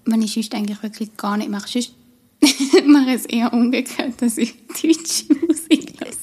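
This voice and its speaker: A woman's voice: a little high, moderate pace, dark tone, breathy edge. A young woman with a Swiss German accent, co-hosting a podcast in casual, hesitant talk with many fillers. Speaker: podcast co-host. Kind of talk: casual literary podcast chat.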